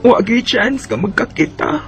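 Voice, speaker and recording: A woman acting a gentle, self-sacrificing young mother in a Bisaya radio drama, with a hesitant, plaintive delivery and Filipino accent. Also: mid-range voice, animated, a little slow, gravelly, soft background music. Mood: tearful, resigned.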